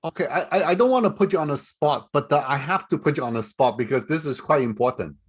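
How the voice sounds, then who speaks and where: A man moderating a webinar Q&A over a video call, chatty and halting, with frequent laughs and restarts. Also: on the high side, expressive, slightly rough. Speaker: forum moderator.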